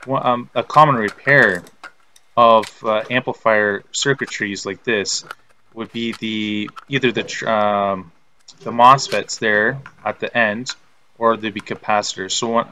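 A man giving step-by-step instructional narration as a repair technician, in a halting, plain-spoken way. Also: on the low side, sharp tone, a little hiss or hum. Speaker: repair technician presenting a tutorial.

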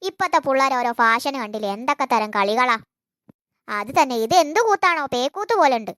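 A woman's voice: high voice, expressive, fairly quick, a bit bright, dry recording, clean studio sound. A woman voicing a nostalgic old village woman who grumbles about today's youth. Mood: wistful.

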